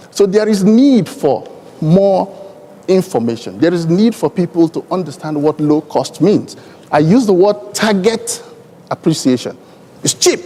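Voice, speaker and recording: A man with a Nigerian accent and Igbo as his native language, speaking deliberately and emphatically in elaborate formal phrases on a conference panel. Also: fairly high pitch, mellow. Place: large conference hall. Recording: long hall reverb from conference PA.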